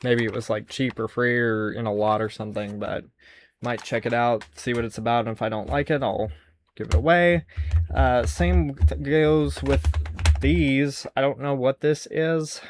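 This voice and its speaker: A man's voice: mid-range voice, steady tone, moderate pace, a little hiss or hum. A man hosting a casual, unscripted show-and-tell of his collection, rambling and musing aloud as he goes. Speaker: host showing a music cassette collection.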